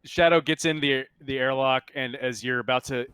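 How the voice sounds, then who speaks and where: A man narrates a tabletop roleplaying game as game master in a smooth, clear voice. He sounds close and dry on the mic, speaking informally as he guides the players through each turn. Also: medium pitch, fairly even intonation, moderate pace, bright, forceful tone, no echo. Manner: informal, prompting players on rules and turns.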